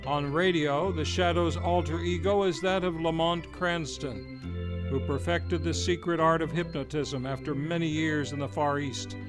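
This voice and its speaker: A man's voice: a little high, steady tone, measured pace. A man, hosting and introducing an old-time radio hour in a showman announcer manner. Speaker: radio show host. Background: music.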